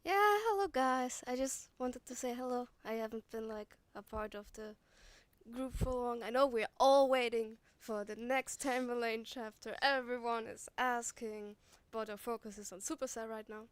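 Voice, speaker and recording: A woman's voice: a little high, normal speed, no echo. A woman speaking casually as a guest on a livestream. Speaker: voice actor guest.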